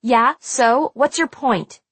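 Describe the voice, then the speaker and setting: A woman voicing a spiteful, sneering teenage mean girl in an audiobook, taunting and shrill when angry. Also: slow, breathy edge, dry recording. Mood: shrill outrage. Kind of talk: audiobook character dialogue.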